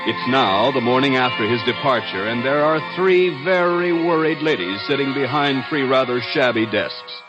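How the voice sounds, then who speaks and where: An actor narrating a whimsical 1950s radio musical as a genial announcer and storyteller. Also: male voice, medium pitch, expressive, moderate pace, few pauses, close to an everyday voice. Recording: dull 1950s radio recording, music behind.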